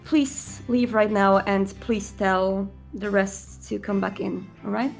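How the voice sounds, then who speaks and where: A woman playing a sad, hesitant, distrustful male vampire prince who speaks haltingly in a tabletop roleplaying game. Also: medium pitch, steady tone, gravelly, faint music behind.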